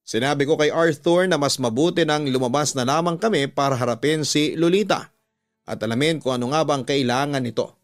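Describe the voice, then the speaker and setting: A Filipino man tells a story as a radio host, with music playing underneath. His voice is mid-pitched and close in a dry studio. He narrates in a measured, deliberate way, stretching the ends of his phrases. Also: no room sound. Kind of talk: scripted narration of a listener's horror story.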